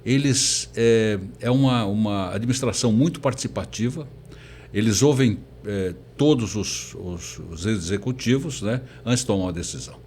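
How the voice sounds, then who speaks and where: An older man, a professor, telling his story as an interview guest in a halting, conversational way. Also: lowish voice, a little mellow. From born in Portugal, emigrated very young. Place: recording studio. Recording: faint background noise.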